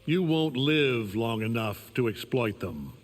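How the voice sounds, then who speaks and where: An actor playing an arrogant, menacing alien conqueror in a fighting game, commanding and contemptuous. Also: male voice, medium pitch, animated, slow pace, big-room sound. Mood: mocking. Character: arrogant, menacing alien superhuman conqueror, male, middle-aged. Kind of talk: fighting game character banter.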